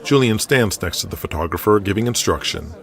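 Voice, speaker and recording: A man narrating a scripted audio drama with dramatic, measured storytelling. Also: fairly low pitch, lively intonation.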